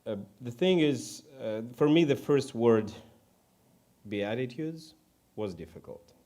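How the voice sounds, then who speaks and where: A man from Ukraine with a Ukrainian accent preaches informally in English as a second language, halting and self-deprecating. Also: medium pitch, expressive, mellow. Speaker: guest preacher. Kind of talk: informal sermon.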